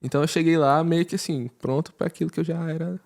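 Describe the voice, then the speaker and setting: A man's voice: fairly high pitch, quick pace, creaky. A man from Brazil, a podcast guest, chatting casually and telling stories with frequent laughter. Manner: laughing, animated storytelling. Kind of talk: casual chat.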